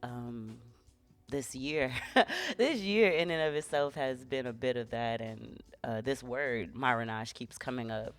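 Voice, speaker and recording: Woman co-host, strikingly low voice, loose colloquial delivery with playful touches, faint music underneath. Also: measured pace. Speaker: radio show co-host. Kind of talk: casual community radio chat.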